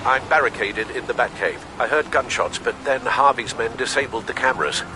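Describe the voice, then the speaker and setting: A man playing a dutiful, composed butler and confidant in a video game, measured and formal. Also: close to an everyday voice. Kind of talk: dramatic video game acting. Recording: clear background rumble and ambience.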